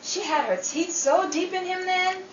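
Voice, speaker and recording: A woman playing a harried, sharp-tongued housewife and mother in old-time radio comedy style, exclamatory and wisecracking, in a roomy, muffled recording. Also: very high-pitched, a little slow, recorded from a distance, a little hiss or hum. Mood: anxious, confiding.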